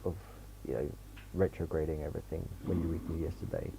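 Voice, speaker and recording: A man's voice: very deep voice, fast talker, gravelly, warm tone, a little hiss or hum, poor audio. A man author on a livestream, chatting casually with dry, joking asides. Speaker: author guest.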